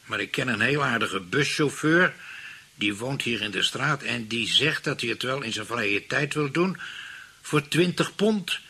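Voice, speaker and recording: A scheming, money-hungry husband from a radio play, in a medium-pitched voice with a sharp edge and some rasp. He is theatrical and falsely pious. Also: animated, unhurried, full character voice. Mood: reading aloud.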